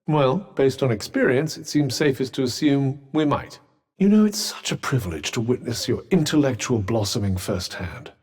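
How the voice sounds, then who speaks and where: A man's voice: medium pitch, expressive, moderate pace, a little mellow, husky. A man with a British accent voicing a haughty, sardonic magical cuff in a video game, speaking with dry, articulate understatement. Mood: sardonic, musing. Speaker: voice actor. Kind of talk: video game companion dialogue.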